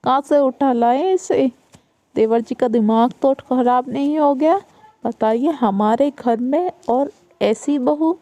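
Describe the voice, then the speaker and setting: A woman's voice: a little high, takes it slowly, vocal fry, pauses often, dark tone, dry recording. A woman voicing a sly elder daughter-in-law who taunts and gossips, in a raised put-on voice. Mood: mocking. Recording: dull lo-fi recording.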